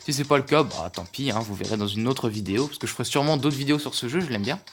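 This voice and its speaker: A man's voice: medium pitch, rapid-fire. A man casually commenting on a mobile game as he plays, hesitant, with lots of fillers and restarts. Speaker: gaming youtuber. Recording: music playing behind. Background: game music.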